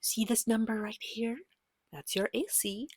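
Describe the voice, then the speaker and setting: A woman speaking softly and breathily, often near a whisper, gently and patiently guiding a beginner as game master in an ASMR roleplay. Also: on the high side, steady tone, slightly rough. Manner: gentle, patient, reassuring, unhurried. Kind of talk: soft-spoken ASMR roleplay tutorial.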